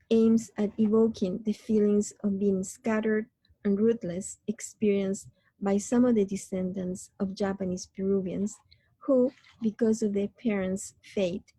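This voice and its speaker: A woman from Chile, an artist, reading her installation statement in a measured, reflective way. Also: medium pitch, dark tone, husky, no room sound, poor audio.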